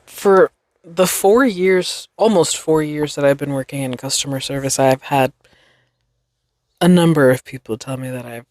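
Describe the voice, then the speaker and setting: A woman's voice: low voice, moderate pace, a little mellow, dry recording. A young woman hosting her own podcast, talking alone in a casual, reflective, rambling way with plenty of fillers. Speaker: podcast host. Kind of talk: casual solo podcast monologue.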